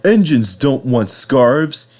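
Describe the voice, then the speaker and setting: A man voicing a proud, stuffy steam engine in a storybook, measured and disapproving. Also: mid-range voice, very animated, darkish tone, voice pushed up. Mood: dismissive.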